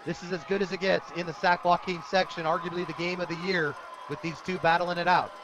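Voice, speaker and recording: An American man doing live radio play-by-play of a high school football game, in clipped, energetic present-tense calls. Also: fairly quick, noisy background. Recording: loud crowd behind outdoor broadcast. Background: stadium crowd.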